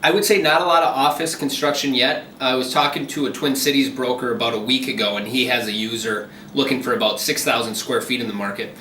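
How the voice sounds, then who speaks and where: Bright-voiced male realtor, distant and echoey. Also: mid-range voice, fast talker, hardly any pauses, a little hiss or hum. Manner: steady, businesslike explaining with frequent ums. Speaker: commercial realtor. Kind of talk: phone interview.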